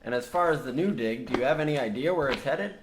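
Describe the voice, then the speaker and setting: A man's voice: medium pitch, animated, fast talker, lightly acted. An actor playing a businesslike young mine surveyor, a polite, matter-of-fact straight man in a comedic radio play. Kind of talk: parody of old radio drama acting. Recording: roomy echo, faint noise.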